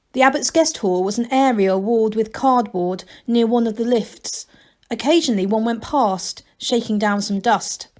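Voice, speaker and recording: A woman reading a novel aloud as a storyteller, in expressive scripted narration. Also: mid-range voice.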